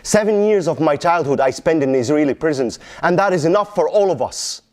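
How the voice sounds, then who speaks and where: A man's voice: on the high side, expressive, brisk, a little mellow, natural voice. A man performing a dramatic monologue as an anguished middle-aged Palestinian father, in Arabic-accented English, with emphatic repetition and pointed questions. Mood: controlled, bitter resolve. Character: anguished Palestinian father pleading with his vengeful son.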